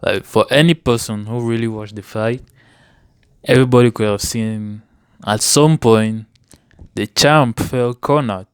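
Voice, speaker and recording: This is a man with a notably smooth, clear voice that sits a little low. He speaks as a guest in a casual sports discussion. The sound is dry and close, with hardly any room in it. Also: a little slow, dry recording. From Cameroon. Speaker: interview guest, sports fan.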